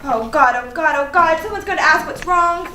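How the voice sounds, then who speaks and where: A woman's voice: very high voice, moderate pace, sharp tone. A woman acting a frantic, melodramatic young woman who rambles and exclaims in a comedic staged reading. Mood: panicked. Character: frantic young woman agonizing over social media feud. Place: live staged reading in a room. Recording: roomy, distant mic, faint noise.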